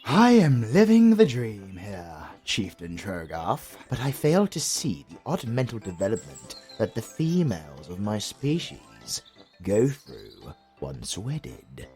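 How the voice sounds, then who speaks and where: A man acts a retired British supervillain in an audio comedy. His voice is on the low side and unhurried, with big theatrical swings of pitch. He is sardonic, wordy and pompous, like a put-upon gentleman. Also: huge pitch swings, soft background music, full character voice. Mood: weary, dry. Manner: dry, sardonic, pompous, articulate. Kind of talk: acted comedy audio roleplay.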